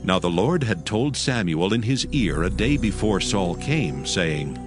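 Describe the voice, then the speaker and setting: Lowish, animated male Bible narrator. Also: a little slow. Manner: formal storytelling delivery. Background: background music.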